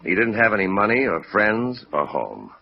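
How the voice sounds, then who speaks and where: Low-voiced earnest claims man. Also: big pitch swings, moderate pace, creaky, exaggerated voice. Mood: earnest, wistful. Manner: earnest, conversational. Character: earnest, sentimental middle-aged male insurance claims man. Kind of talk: 1950s radio drama acting. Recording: muffled vintage radio recording.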